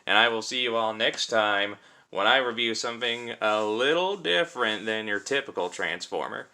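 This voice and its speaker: A man's voice: fairly low pitch, moderate pace, sharp tone. A man giving a casual hands-on product review in an informal, conversational way, thinking aloud as he demonstrates. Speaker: toy reviewer and host.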